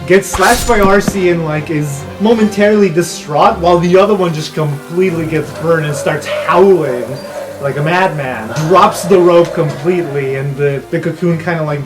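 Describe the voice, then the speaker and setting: A man's voice: fairly high pitch, normal speed. A man improvising vivid, descriptive narration as game master of a tabletop fantasy game. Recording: music playing behind the voice. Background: music.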